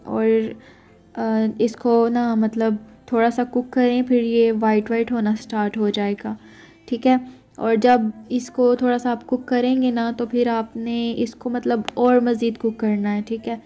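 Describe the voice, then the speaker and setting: A woman's voice: on the high side, warm tone. A young woman chatting through a home cooking recipe, often checking in with her viewers as she goes. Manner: chatty, keeps saying "theek hai" to check in with viewers. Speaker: cooking vlogger. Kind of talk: casual cooking narration.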